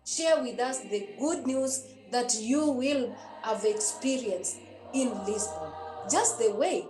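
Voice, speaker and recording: Nun, high voice, earnest and repetitive preaching to youth; roomy echo, faint music underneath. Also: female voice, animated, slow pace. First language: a Kenyan language. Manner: earnest and repetitive, with many hesitations. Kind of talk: exhortation to young people.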